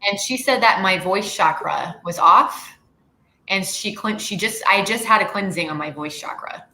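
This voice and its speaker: Woman guest, bubbly with easy laughs, bright edgy tone, echoey room, faint room hum. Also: medium pitch, normal speed. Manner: bubbly, laughs between phrases. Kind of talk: casual panel chat.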